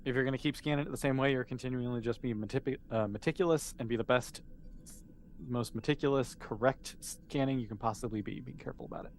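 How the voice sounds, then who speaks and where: A man's voice: mid-range voice, fast, slight background noise. A man, improvising casually and encouragingly as game master of a tabletop role-playing game.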